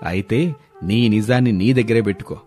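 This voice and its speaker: A man's voice: lowish voice, moderate pace, a little mellow, soft background music, lightly acted. A man voicing an irritable village elder who is worn out by two fools. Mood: flustered. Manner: exasperated, grumbling.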